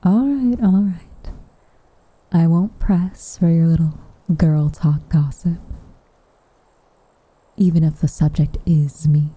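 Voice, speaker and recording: A woman acts out a gentle witch with a wistful, guarded tenderness for an ASMR roleplay. Her voice is lowish, very mellow and breathy, often slipping into a whisper. It is recorded close and dry, with insects chirping behind her. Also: dry recording, full character voice, poor audio. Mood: gently teasing. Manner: soft, breathy, tender, measured delivery. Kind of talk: soft roleplay ASMR, intimate and half-whispered. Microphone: close microphone.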